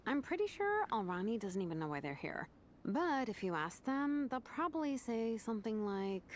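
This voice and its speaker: An actress playing a laid-back, dryly sardonic young vice captain in a video game, talking in a casual, wry way. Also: female voice, fairly high pitch, sing-song, moderate pace, a little hiss or hum. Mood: amused, dry.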